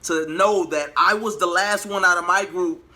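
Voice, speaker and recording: A man vlogging in a casual, colloquial storytelling style, animated and acting out bits of dialogue as he goes. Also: high-pitched, normal speed, slight background noise. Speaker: vlogger and singer.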